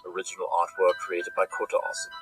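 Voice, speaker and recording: A man's voice: low voice, monotone, fast, fairly bright tone, breathy edge, soft background music, low-quality recording. A man playing an aloof pony detective in an audio drama, formal, dry and self-assured as he acts and reads credits. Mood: dryly formal.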